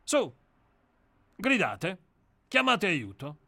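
Guy reading a menacing, mocking novelist, high bright voice, very dry sound. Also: wildly swooping pitch, extremely slow, creaky, no echo, exaggerated voice. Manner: grandiloquent, mocking. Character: cynical, menacing male novelist, coldly theatrical. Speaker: reader of a radio play. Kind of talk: dramatic reading.

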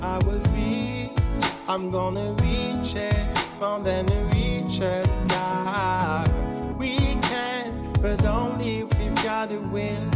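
Woman singing slowly over loud music. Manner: sung, sustained high notes. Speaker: singer. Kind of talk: pop song vocal.

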